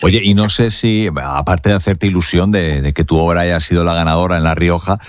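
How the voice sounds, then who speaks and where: A man's voice: low voice, fairly even intonation, a little fast, clear, resonant tone, no room sound. A man with an accent from Spain, hosting a radio show and interviewing guests. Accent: Spanish from Spain. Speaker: radio host.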